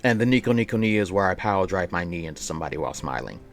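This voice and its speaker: A man's voice: low voice, animated, very fast. A man livestreaming, casually chatting with viewers and reading aloud in a rambling, playful way that often repeats phrases. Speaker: live streamer.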